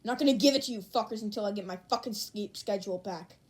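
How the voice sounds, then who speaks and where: A woman voicing a hot-tempered, foul-mouthed teenage boy hero student in a gruff, combative manner for a fan fiction reading. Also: medium pitch, fast talker, lightly acted. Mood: irritated, grumbling.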